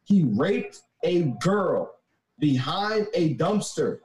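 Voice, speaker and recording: A man in a casual debate chat, arguing his points slowly and emphatically. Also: a little high, expressive, darkish tone. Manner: argumentative, slow and emphatic when making a point. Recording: roomy echo.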